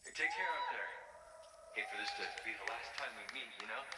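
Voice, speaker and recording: Chummy, rambling hermit, very animated. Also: male voice, mid-range voice, a little fast, very noisy. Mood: grateful, sheepish. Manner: casual, hesitant, rambling. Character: friendly, awkward, laid-back male hermit survivalist. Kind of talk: video game cutscene dialogue. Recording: roomy, distant game playback under loud ambience.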